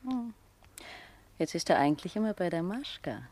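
A woman's voice: on the low side, very animated, moderate pace, darkish tone. An actress playing a saucy, streetwise working girl in a 1960s German radio drama, drawling and mocking. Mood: teasing, amused.

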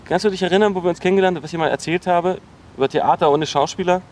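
A man's voice: sits high, darkish tone, natural voice. Man acting an insistent, wounded ex-boyfriend, faint noise behind. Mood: scornful, agitated. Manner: insistent, accusatory, halting with repeated words. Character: bitter, obsessive, wounded ex-boyfriend. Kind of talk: naturalistic, improvised-sounding radio drama.

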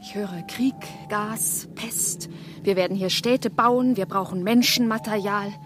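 A woman playing hushed, intense young wife. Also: medium pitch, a little slow, slight background noise, close to an everyday voice. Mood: urgent. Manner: intense, often hushed and whispering. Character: Eva, young wife torn between two men. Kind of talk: German radio play acting.

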